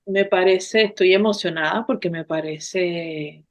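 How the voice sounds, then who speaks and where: A woman's voice: on the low side, unhurried, muffled recording. A woman from Venezuela co-hosting a podcast, speaking casually with frequent filler words and hesitations. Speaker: podcast co-host. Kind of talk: casual conversational talk. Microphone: remote call.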